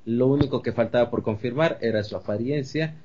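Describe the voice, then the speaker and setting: A man, talking through video games in a measured, explanatory way on a casual panel show. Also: medium pitch, dark tone, highs cut off, slight background noise. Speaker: podcast panelist. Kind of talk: casual gaming chat.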